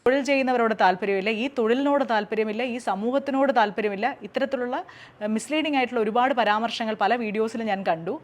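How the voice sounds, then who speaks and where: A woman's voice: on the high side, fast. A woman with a Kerala accent making a formal, articulate public statement in her own defence. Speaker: radio jockey and social media creator. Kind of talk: direct-to-camera public statement.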